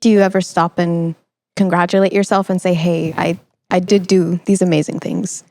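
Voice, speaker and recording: A woman's voice: lowish voice, level tone, moderate pace, vocal fry, darkish tone, no room sound. A young woman hosting a conversational interview in an encouraging, supportive way. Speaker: podcast host.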